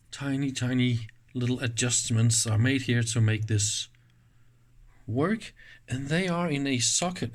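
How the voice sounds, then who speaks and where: A man gives a casual, hands-on technical demonstration in an informal, enthusiastic manner. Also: mid-range voice. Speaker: host of an electronics teardown channel.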